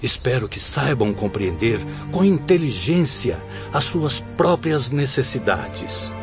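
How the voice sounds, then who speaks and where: A kindly spiritual mentor in a Brazilian radio play. He exhorts in formal, fatherly tones with broad rises and falls. The sound is dull, with clear music underneath. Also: mid-range voice, very animated, takes it slowly. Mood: solemn exhortation. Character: kindly, solemn director of a spirit-world school. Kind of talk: Brazilian radio drama acting.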